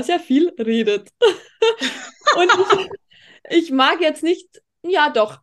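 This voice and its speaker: Tyrolean austrian lady podcast chat, really high voice, rolls her r's, scratchy k sound. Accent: Tyrolean Austrian German. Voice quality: strongly rolled R, harsh throaty K. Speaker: podcast co-host.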